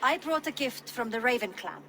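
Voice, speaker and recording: A caring Viking woman speaks gently and with concern in a slightly higher voice. Faint ambient noise lies underneath. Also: animated, normal speed. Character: caring female Viking clan ally.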